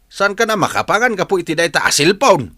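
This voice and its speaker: Exasperated farmer father, sharp and animated. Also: sits high, brisk, heavily acted. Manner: nagging, exasperated. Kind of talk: Ilocano radio drama acting.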